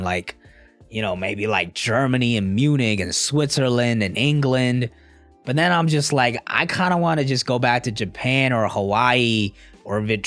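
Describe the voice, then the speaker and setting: Young guy rambling to his live chat, slangy and chill, mid pitch, dry sound with soft music under it. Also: no room sound. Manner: laid-back, slangy, rambling. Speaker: streamer answering live chat. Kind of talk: casual live stream chat.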